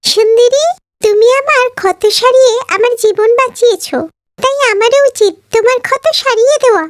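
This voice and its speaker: A woman's voice: very high-pitched, a little fast, no echo, clean studio sound. A woman voicing a kindly magical peahen fairy in a cartoon, with a caring, benevolent manner.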